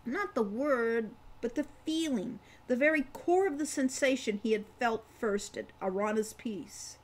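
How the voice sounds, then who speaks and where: Older woman reading fantasy narration. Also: a little high. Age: 60s. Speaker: author reading own novel. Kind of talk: audiobook-style fantasy narration. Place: home room with kitchen behind.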